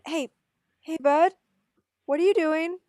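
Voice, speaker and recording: A woman's voice: very high-pitched, steady tone, slow, mellow, no echo, studio quality. A woman playing an earnest, encouraging young male trainer in an amateur table reading, coaxing and urgent.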